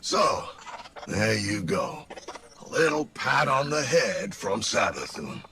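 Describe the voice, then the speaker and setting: A man playing a snide, cynical robotic companion in a video game, with a gravelly voice and a sarcastic, slangy drawl, over background noise. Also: fairly low pitch, big pitch swings, slow pace, exaggerated voice. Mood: mocking. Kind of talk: video game character dialogue.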